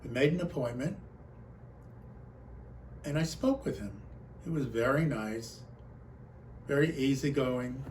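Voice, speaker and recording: A man speaks as a conference storyteller, relaying a personal anecdote and its dialogue in an expressive way. His voice sits at an average pitch for a man. There is audible noise behind him in the recording. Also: unhurried, pauses often. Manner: animated storyteller, acts out quoted exchanges.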